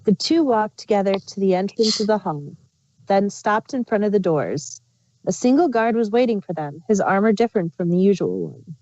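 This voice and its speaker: A woman's voice: mid-range voice, animated, moderate pace, dark tone, lo-fi sound. A woman reading scripted fan fiction aloud as the narrator.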